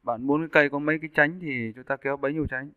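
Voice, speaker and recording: A man's voice: a little high, steady tone, very fast, smooth, no echo. Guy doing a casual screen tutorial, step by step, dry close sound, tinny narrowband audio. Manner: informal step-by-step explaining. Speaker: 3D animation instructor. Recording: muffled narrowband phone-like audio.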